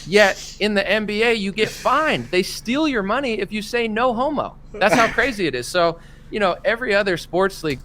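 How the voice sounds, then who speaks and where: A man hosting a casual commentary chat, talking in an animated, opinionated way and acting out what others said. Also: sits high, moderate pace, slight background noise. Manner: animated, opinionated, acts out quotes. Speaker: podcast host.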